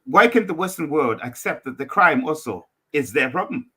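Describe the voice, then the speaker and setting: A man with a slightly high, bright and forceful voice co-hosts a podcast from home over a video call. He argues casually and insistently, often repeating a phrase to drive it home. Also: lively intonation, normal speed, slightly rough. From Malawi. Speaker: podcast co-host. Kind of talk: casual debate. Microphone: video call with headphones.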